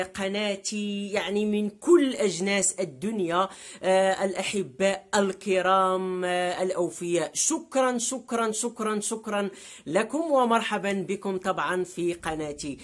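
A man, talking straight to a webcam in his living room, emphatic and repeating words for stress. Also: sits high. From Morocco. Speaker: vlogger. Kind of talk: direct-to-camera vlog monologue. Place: living room with a sofa, indoors.

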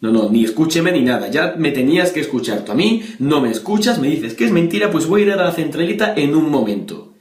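A man's voice: on the high side, animated, brisk, few pauses, natural voice. Casting: young man as stern, preachy Spanish policeman dad on a prank call, insistent, accusing, echoey bedroom. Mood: threatening, official. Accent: Spain. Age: young adult. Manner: insistent, accusatory, repeating the listener's name. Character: stern, preachy, threatening policeman father of a teenage girl. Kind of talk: prank phone call improvisation. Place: small bedroom, indoors. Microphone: webcam or camera at desk distance.

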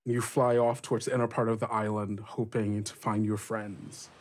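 A man's voice: fairly low pitch, little pitch movement, moderate pace. A man hosting a tabletop game podcast as game master, narrating and chatting casually. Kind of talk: casual actual-play game narration and chat.

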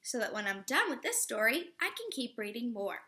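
A woman in her thirties, a teacher reading a picture book aloud to young children in an expressive, instructive way. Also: fairly high pitch, a little fast. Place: living room at home, indoors.

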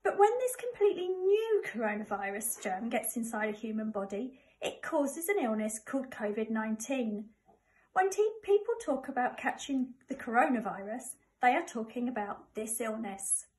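A middle-aged woman with an English accent reads a children's information book aloud to primary school pupils. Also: on the high side. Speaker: primary school staff member reading to pupils.

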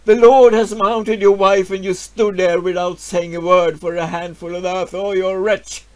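A man voicing cruel villagers, loudly taunting and jeering. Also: high-pitched, flat delivery, extremely slow. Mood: mocking. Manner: loud taunting.

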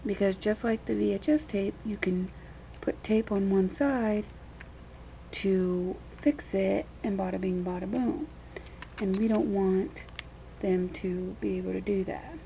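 A woman with a gravelly, creaky voice at a middling pitch walks through a hands-on demonstration. She talks casually and rambles in plain colloquial language. The homemade camera recording has clear background noise. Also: unhurried, warm tone. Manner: rambling, colloquial, mildly crude. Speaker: vlogger giving a how-to demonstration. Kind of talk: casual unscripted hands-on tutorial. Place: small dim room indoors at a table. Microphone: camera mic pointed at hands on table.

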